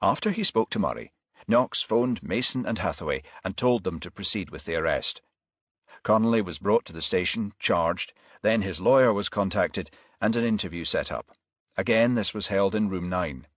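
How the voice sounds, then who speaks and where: A man's voice: deep voice, expressive, moderate pace, no echo, clean studio sound. A man reading scripted crime fiction as an audiobook narrator.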